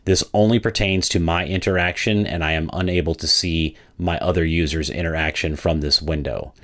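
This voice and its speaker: Deep voiced guy doing a software walkthrough, explains stuff step by step. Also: fairly even intonation, normal speed. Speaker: software demo presenter. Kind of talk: product walkthrough narration.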